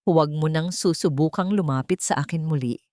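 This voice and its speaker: A woman's voice: sits low, a little fast, dark tone, no room sound, studio quality, close to an everyday voice. A woman voicing a cold, resolute wronged wife who speaks with composure in an audio drama. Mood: cold, dismissive.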